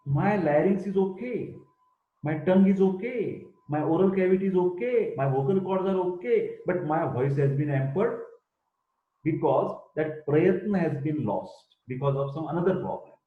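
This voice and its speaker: A man's voice: on the high side, expressive, normal speed. An older man with an Indian accent, a professor giving an emphatic lecture and reciting Sanskrit verses. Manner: emphatic, repeats key terms, chants Sanskrit verses. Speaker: Ayurveda professor. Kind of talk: recorded lecture. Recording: echoey room, tinny phone-like sound.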